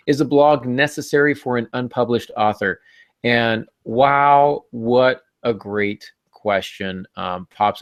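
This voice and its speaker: A man's voice: lowish voice, dry recording. Playful male podcast host, close dry sound. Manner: playful, tongue-in-cheek. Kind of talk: casual, joking podcast talk.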